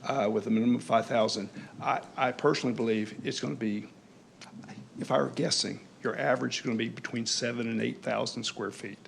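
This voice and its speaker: A man presenting a housing development to a city council in a confident, folksy, persuasive way, clearing his throat as he starts to lose his voice. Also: medium pitch, fairly even intonation, a little fast, a little hiss or hum. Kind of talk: public meeting presentation.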